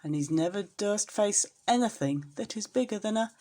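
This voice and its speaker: A woman's voice: medium pitch, expressive, moderate pace, mellow. A woman storyteller reading a children's storybook expressively, as if to a young listener.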